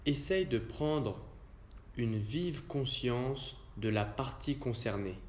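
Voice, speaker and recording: A male yoga teacher guides a relaxation session in a roomy, echoing space. His delivery is very unhurried and calm, placed in short, separate phrases. His voice sits at an average male pitch. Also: slight background noise. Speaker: yoga nidra instructor. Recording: roomy echo around the voice.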